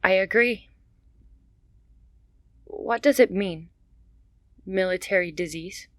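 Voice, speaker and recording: A woman's voice: medium pitch, slow pace, dry recording, clean studio sound, lightly acted. A woman voicing a poor young mother, anxious and pleading, desperate yet proud. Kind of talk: amateur radio play acting.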